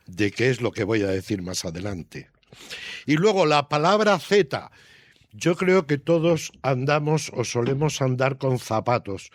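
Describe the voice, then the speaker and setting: Slow-paced older man. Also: mid-range voice, no echo, clean studio sound. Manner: thoughtful, didactic, often seeks agreement with tag questions. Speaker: writer being interviewed. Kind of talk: unscripted interview answers.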